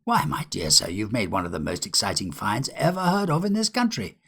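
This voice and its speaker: A man voicing an eager, reassuring gentleman in a storybook, polite and emphatic in an old-fashioned way. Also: lowish voice, huge pitch swings, a little fast, husky, exaggerated voice. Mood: excited, reassuring.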